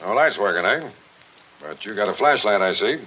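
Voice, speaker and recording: An actor playing an easygoing, shrewd homicide captain in 1940s radio drama style, with folksy, probing talk. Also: male voice, fairly low pitch, expressive, normal speed, lightly acted. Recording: muffled, noisy vintage 1940s radio recording.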